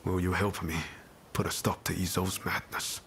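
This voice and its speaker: Earnest low-voiced young samurai. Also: male voice, level tone, moderate pace, gravelly, fairly bright tone, slight background noise. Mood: urgent, resolute. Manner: earnest, reflective delivery. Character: earnest, loyal young samurai, grateful and resolute. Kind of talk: video game cutscene acting.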